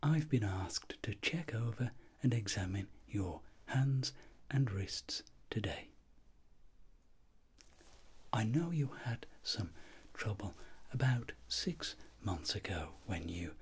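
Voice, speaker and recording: A middle-aged man speaking in a breathy whisper, slow and reassuring, in an ASMR roleplay as a doctor examining a patient. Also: fairly low pitch. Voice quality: breathy whisper, soft-spoken. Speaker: ASMR performer acting as a doctor.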